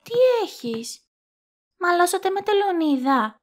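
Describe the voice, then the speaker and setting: A woman's voice: extremely high pitch, lively intonation. A woman playing a caring, frank young girl in an audio drama, with a matter-of-fact way of talking. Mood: concerned. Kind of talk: Greek amateur audio drama acting.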